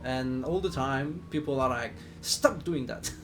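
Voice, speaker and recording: Slow-talking man with a Swiss accent. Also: medium pitch, faint music behind. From Switzerland. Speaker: flight simulator streamer. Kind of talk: casual live stream chat while playing.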